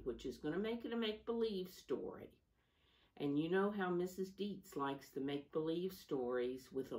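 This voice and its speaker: A woman reading a storybook aloud to young children as a teacher, deliberate and instructive, stressing key words and adding simple asides. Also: sits low. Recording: voice distant from the microphone.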